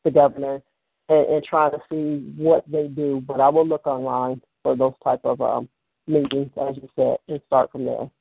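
A man's voice: mid-range voice, little pitch movement, moderate pace, vocal fry, husky. A man calling in to a talk line, speaking hesitantly and often restarting his sentences. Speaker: caller. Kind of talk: casual phone call. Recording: tinny phone line.

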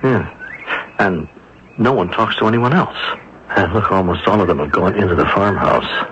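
A man's voice: low-pitched, animated, moderate pace, a bit bright. An actor playing a grumbling, skeptical police inspector in a 1970s radio drama, blunt and colloquial in his talk. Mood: surprised, curious. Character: grumbling, skeptical police inspector, the sleuth's plain-spoken sidekick. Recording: muffled, noisy old radio broadcast recording.

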